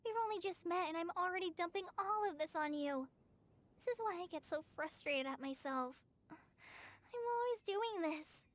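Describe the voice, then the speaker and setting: A woman's voice: very high voice, animated, fairly bright tone. An actress voicing an anxious, self-doubting young girl in a video game, speaking in a hesitant, earnest and awkward way. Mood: apologetic, frustrated. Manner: hesitant, halting, self-conscious. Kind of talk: English video game voice acting.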